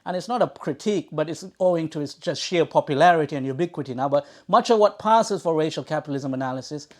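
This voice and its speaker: A man from Sri Lanka hosting a book talk, speaking in an erudite, wordy way with halting restarts and repeated words. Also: a little high, a little mellow. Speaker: event host introducing an author. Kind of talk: academic introductory remarks at a live book talk. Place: talk in a bookshop before an audience.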